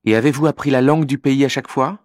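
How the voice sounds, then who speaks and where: A man's voice: medium pitch, fast talker, a little mellow, no room sound, clean studio sound, lightly acted. A man playing a young journalism student, asking scripted interview questions in a polite, formal way. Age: young adult.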